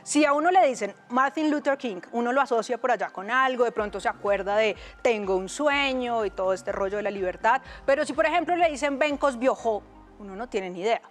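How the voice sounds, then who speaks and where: Fairly high-voiced woman presenting culture. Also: fairly quick. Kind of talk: informal, chatty scripted presenting.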